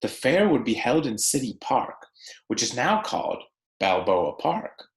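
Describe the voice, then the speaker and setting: A man reading a picture book aloud like a teacher, with deliberate, emphatic phrasing and conversational asides for young listeners. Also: mid-range voice, very animated, reverberant.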